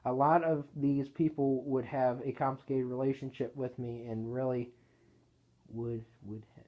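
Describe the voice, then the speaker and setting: A man reading his own written story aloud in a casual way, halting and correcting himself as he goes. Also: medium pitch, flat delivery, lo-fi sound.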